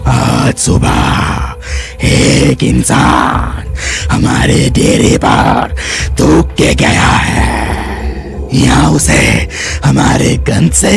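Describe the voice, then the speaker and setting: A man voicing a menacing, vengeful ghost cow in a horror cartoon, gravelly and growling, speaking in drawn-out threats. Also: mid-range voice, wildly swooping pitch, full character voice. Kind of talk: cartoon horror story acting.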